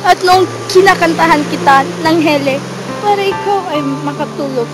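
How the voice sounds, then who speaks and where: A woman acts out a dying mother in a stage-style drama, saying farewell to her child. Her voice is high, sentimental and full of sorrow. Loud music runs behind it. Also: normal speed. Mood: tender sorrow. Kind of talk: theatrical drama monologue. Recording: loud music over amateur drama audio.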